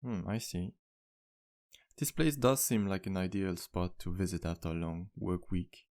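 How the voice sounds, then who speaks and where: A man playing a charming, flirtatious vampire bartender in an ASMR roleplay, speaking in a courteous, teasing, intimate way with unhurried pauses. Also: sits low, a little mellow. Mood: calm, curious. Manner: smooth-talking, courteous, playful, unhurried pauses. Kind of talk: ASMR roleplay, intimate one-sided dialogue.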